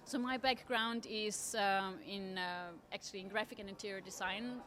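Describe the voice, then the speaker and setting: A woman's voice: mid-range voice, steady tone, sharp tone. Woman, thoughtful interview guest. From Austria. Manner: articulate, thoughtful, measured. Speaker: interview guest, trend forecaster. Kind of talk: conversational interview. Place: at a table at a design fair.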